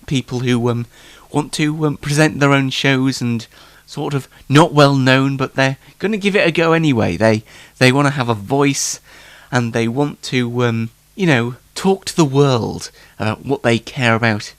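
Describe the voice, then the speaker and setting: Male host, mid pitch but very animated, congested, rambling and self-mocking, late night chat, dry sound. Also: moderate pace, dry recording. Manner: self-deprecating, jokey, rambling. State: congested from hay fever. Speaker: talk show host. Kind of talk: casual solo late night talk show chat.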